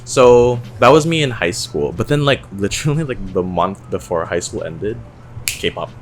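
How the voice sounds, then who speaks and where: A man's voice: on the low side, normal speed, soft background music. A young man from the Philippines co-hosting a podcast, talking in a chatty casual way with lots of restarts. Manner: chatty, animated, restarts often. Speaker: podcast co-host. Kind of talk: casual chat.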